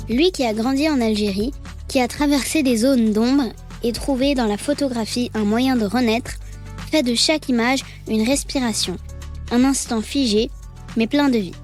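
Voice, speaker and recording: A woman podcast host reading a scripted introduction in a measured, poetic way and asking interview questions. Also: fairly high pitch, a little mellow, soft background music.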